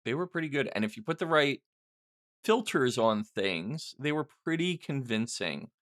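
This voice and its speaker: A man's voice: medium pitch, very animated, normal speed, fairly bright tone. A middle-aged man hosting a casual, unscripted question-and-answer podcast, rambling and self-deprecating as he thinks aloud. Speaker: podcast host and writer answering listener questions.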